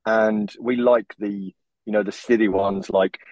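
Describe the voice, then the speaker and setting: A man's voice: fairly low pitch, steady tone, measured pace, a little mellow, no echo. Man on a dull, dry remote line. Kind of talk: casual anecdotal chat.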